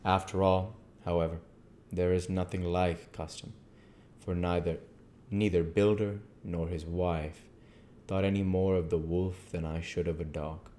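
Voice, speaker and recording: A man reading a classic novel aloud as an amateur narrator, measured, with the odd stumble. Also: deep voice, level tone, mellow, slight background noise. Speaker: amateur book reader.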